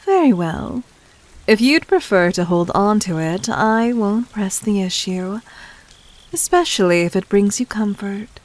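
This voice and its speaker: A woman acts out a demon queen for an audio roleplay, her voice on the low side and very unhurried. She addresses the listener formally, gently domineering and teasing, with breathy touches and silky condescension.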